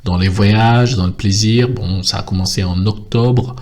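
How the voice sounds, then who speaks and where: Deep-voiced man rambling with many fillers. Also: fairly even intonation, unhurried, darkish tone. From French Guiana. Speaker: vlogger. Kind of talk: casual monologue to camera.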